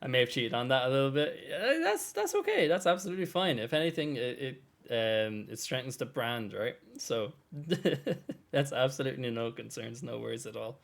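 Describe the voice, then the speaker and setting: A man's voice: medium pitch, sing-song, moderate pace, vocal fry. An Irish man hosting a casual open community call on a voice chat, bantering, laughing often and swearing freely. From Ireland. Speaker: host.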